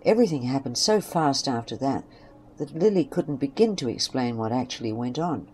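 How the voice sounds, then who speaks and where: A woman's voice: very deep voice, lively intonation, moderate pace, darkish tone, poor audio. A woman narrating a scripted western story. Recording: faint background noise and ambience.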